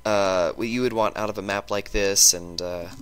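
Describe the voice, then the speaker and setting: Stuffy, sick young man with a bright voice. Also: on the low side, steady tone, smooth. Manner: halting, many ums and restarts. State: sick with a sore throat and stuffy nose. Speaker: gaming YouTuber. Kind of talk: casual gameplay commentary.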